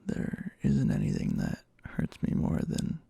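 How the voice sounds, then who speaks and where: Yandere boyfriend, played by a man, for ASMR roleplay: gravelly, breathy, slow, halting, anxiously devoted. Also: a bass voice, huge pitch swings, mellow, dry recording, studio quality, heavily acted. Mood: aching, needy. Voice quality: breathy, husky half-whisper.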